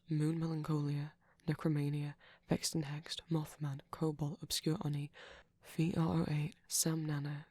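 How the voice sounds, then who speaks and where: ASMR host for list readout: hushed, breathy delivery, flat melody, very dry sound. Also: medium pitch, no echo. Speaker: host reading supporter credits. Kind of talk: ASMR, reading out a list of names.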